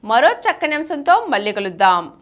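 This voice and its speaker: Lively, high-pitched woman narrator. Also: moderate pace. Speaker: narrator reading an article for a channel. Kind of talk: scripted narration, explanatory.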